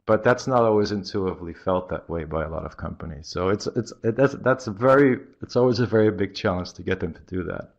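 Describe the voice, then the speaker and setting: Belgian man with a faint American accent, deep gravelly voice, muffled lo-fi line, interview guest who pauses to think. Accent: slightly American-sounding. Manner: halting, thinks aloud with many pauses. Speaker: marketing guest. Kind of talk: podcast interview. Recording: dull lo-fi audio.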